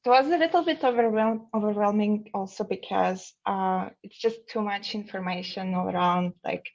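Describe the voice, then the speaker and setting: A woman from Russia with a Russian accent, answering casually and somewhat haltingly as an interview guest. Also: mid-range voice, darkish tone, dull audio.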